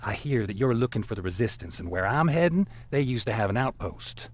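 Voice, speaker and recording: Animated cocky male scout. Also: lowish voice, fairly quick. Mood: teasing, breezy. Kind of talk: video game acting.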